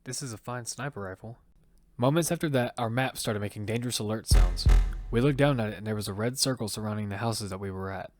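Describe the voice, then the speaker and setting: A man's voice: on the low side, quick pace, natural voice. A man playing a blunt young survivor of a deadly battle game, narrating his own story in an audio drama. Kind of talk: first-person narration in an audio fiction. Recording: music loud behind the voice.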